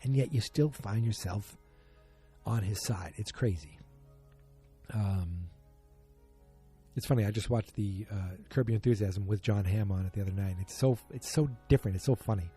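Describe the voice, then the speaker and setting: Man, solo podcast host, casual rambling chat, husky voice, faint music underneath. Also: on the low side, normal speed, mellow. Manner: rambling, self-deprecating, joking.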